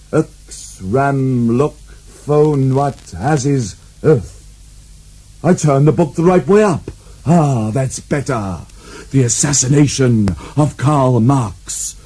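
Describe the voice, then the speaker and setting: A man's voice: mid-range voice, mellow, husky. A man hosting a comic radio show as its storyteller, musing theatrically as he picks a book. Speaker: storyteller host. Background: music.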